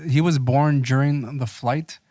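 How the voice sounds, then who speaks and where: A man's voice: medium pitch, steady tone, unhurried, dark tone, dry recording, clean studio sound. A man co-hosting a casual podcast, reading from an article and commenting on it. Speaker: podcast co-host.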